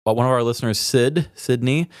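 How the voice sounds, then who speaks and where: A man's voice: mid-range voice, brisk, dry recording, clean studio sound. A man speaking as a podcast co-host in casual, conversational chat.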